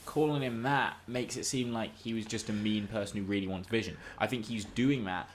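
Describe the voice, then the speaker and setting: A man's voice: lowish voice, fairly quick. A man co-hosting a podcast, discussing film and TV casually in an emphatic, analytical, argumentative way. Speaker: podcast co-host.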